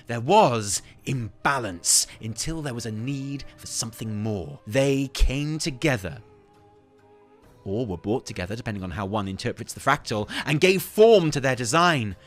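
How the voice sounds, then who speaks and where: A man's voice: medium pitch, a bit bright. A man voicing an obsessive, rambling scholar-explorer in a theatrical, grandiose reading of fantasy game expedition notes.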